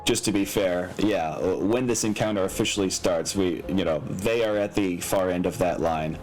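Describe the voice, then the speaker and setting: Low guy game mastering, casual, works stuff out out loud, music playing behind him. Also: normal speed, poor audio. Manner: casual, hesitant, thinking aloud. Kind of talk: narrating a tabletop mech game. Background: background music.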